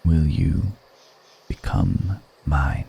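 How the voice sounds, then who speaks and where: Man as a very deep, slow, seductive vampire lord. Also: fairly even intonation, gravelly, breathy edge, full character voice. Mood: solemn, seductive. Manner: slow, deliberate, hushed, solemn. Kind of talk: intimate ASMR roleplay.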